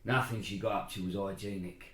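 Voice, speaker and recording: An actor playing a weary, coarse, sardonic castle jailer with wry dark humour in an audio horror play. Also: male voice, deep voice, fairly even intonation, brisk, lightly acted. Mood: guarded.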